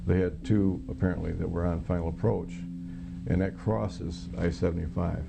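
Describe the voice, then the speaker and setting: A low-voiced, mature American man tells his own story in an interview setting. His delivery is heavily creaky and very even, with plainspoken, halting phrasing. Guitar music plays clearly behind him. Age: middle-aged or older. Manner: halting, plainspoken, matter-of-fact. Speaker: interviewee, former Air Force air traffic controller. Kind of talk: recounting a personal experience in an interview. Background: plucked guitar music.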